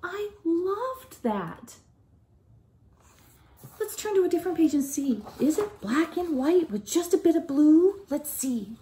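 Slow, high-voiced woman reading a storybook. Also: slight background noise. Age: middle-aged. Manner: expressive, questioning, child-directed delivery. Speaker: children's storytime teacher. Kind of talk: reading a picture book aloud to young children. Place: living room at home, indoors.

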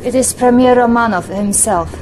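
An actress playing a poised young Soviet lieutenant, giving a formal, deferential briefing in a game. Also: female voice, a little high, normal speed, darkish tone, slight background noise, close to an everyday voice. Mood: proud, formal. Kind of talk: video game cutscene acting.

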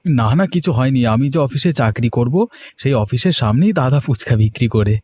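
A man's voice: medium pitch, expressive, fairly quick, darkish tone, breathy edge, no room sound. A man playing an eager, respectful younger brother in a cartoon story. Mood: happy, eager. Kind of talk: cartoon moral-story dialogue.

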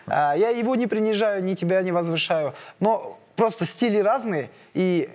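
A man's voice: sits high, lively intonation, normal speed, darkish tone. A young man, an MMA fighter, talking in a studio press conference, hesitant with many filler sounds, on a lapel mic. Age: young adult. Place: dark studio set, seated in armchair.

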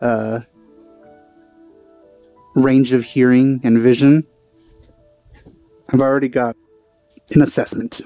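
A man's voice: mid-range voice, level tone, slow, pauses often, warm tone, no room sound, soft background music. A man giving casual let's play commentary, offhand and musing with a wry streak. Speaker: gamer doing a let's play.

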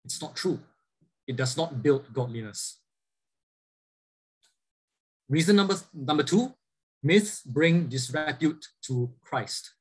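Earnest husky-voiced male Bible teacher. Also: a little high, expressive, takes it slowly, pauses often, rough recording. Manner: earnest, asks the audience rhetorical questions; frequent fillers and repeated restarts. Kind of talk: church lecture over slides.

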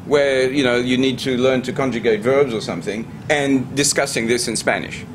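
A man's voice: mid-range voice, steady tone, moderate pace, noisy background. Man adding a comment from the audience.

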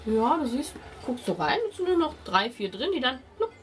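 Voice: high voice, sing-song, normal speed. A game master narrating a tabletop role-playing game and slipping into the parts of characters. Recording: music behind the voice. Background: background music.

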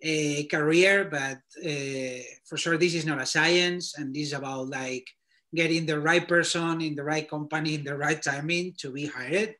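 A man's voice: a little high, fairly even intonation, moderate pace, pushed and bright. Spanish-accented man on a video call. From Spain. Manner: loose, filler-heavy, thinking aloud. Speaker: guest portfolio reviewer. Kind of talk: casual video call talk. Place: small home room indoors. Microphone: laptop webcam video call.

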